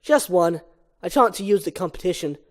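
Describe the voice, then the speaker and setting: A man's voice: high-pitched, animated, fairly quick. A man voicing a chatty, polite male cartoon bee in a casual, earnest way.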